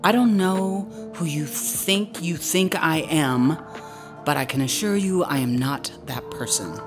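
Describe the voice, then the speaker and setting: A woman's voice: low voice, a little slow. Actress as a wary tough woman in a radio play, curt and firm, music under her. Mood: defiant. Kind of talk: radio drama dialogue.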